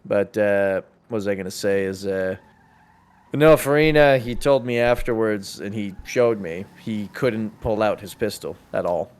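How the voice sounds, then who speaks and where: A man's voice: on the low side, unhurried, darkish tone, clean tone. A man chatting casually and swearing now and then while playing a role-play game online. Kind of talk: casual gaming chat during role-play.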